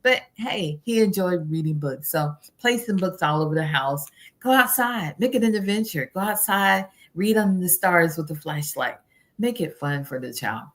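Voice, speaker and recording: A woman's voice: on the low side, lively intonation, moderate pace, a bit bright. A middle-aged woman speaking as a guest expert, giving earnest, instructive advice in a conversational interview style. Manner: earnest, instructive, listing practical tips.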